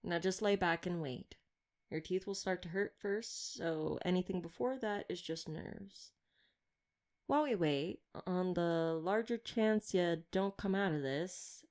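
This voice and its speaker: Wry female vampire hunter, voiced by an actress, slightly low voice, glib flirty banter, caring underneath, intimate audio roleplay. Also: a little mellow, clear, resonant tone, lightly acted.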